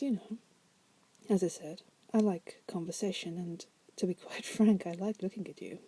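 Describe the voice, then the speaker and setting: A woman voicing a bored, manipulative female demon prisoner in an ASMR roleplay, softly teasing and coaxing the listener in a breathy voice that often drops to a whisper. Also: mid-range voice, low-quality recording. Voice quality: soft, breathy.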